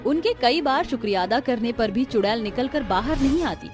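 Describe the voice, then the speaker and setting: A woman's voice: a little high, animated, fairly quick, over music. A woman giving scripted storybook narration as the narrator of a tale.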